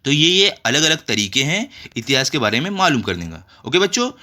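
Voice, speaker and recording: An Indian man teaches schoolchildren in a bright, forceful voice at an average male pitch. He explains step by step, reading and translating as he goes. He often asks rhetorical questions and adds short check phrases. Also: sing-song, brisk. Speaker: school tutor. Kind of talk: explanatory lesson for children, reading textbook and translating.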